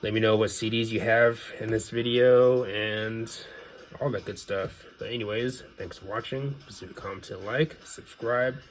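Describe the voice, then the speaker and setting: A man's voice: lowish voice, steady tone, normal speed. A man giving casual, unscripted commentary as a video host, offhand and hedging his opinions. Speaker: video host showing a music CD collection. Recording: clear background noise.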